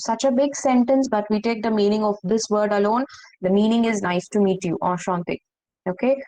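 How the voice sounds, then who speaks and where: Lady teaching french, says every line twice, keeps asking if it's clear. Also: on the high side, a little mellow, poor audio. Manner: explains slowly, repeats phrases, checks understanding. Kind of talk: online language class.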